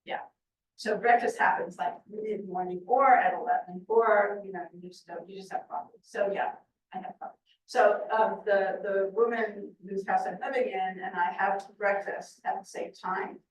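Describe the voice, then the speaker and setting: An older woman answering questions on a video call in a chatty way, laughing often and trailing off softly. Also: mid-range voice, steady tone, normal speed. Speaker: presenter. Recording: echoey room from far off, faint noise.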